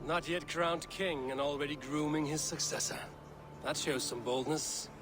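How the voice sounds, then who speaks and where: An actor playing an earnest, poetic young Viking warrior in a video game. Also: male voice, on the high side, fairly even intonation, normal speed, music in the background, natural voice. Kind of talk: dramatic video game acting.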